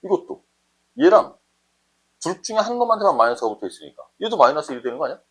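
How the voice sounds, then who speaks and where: Husky male math teacher, lively pitch. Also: quick pace. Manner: conversational, often checks understanding with short questions. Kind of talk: informal explanatory math lesson, thinking aloud.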